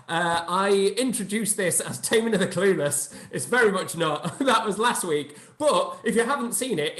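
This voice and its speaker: A man with a high voice hosts a live online Q&A over a video call. He sounds upbeat, laughs often and leans on fillers. His call audio is roomy. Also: a bit bright. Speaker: host.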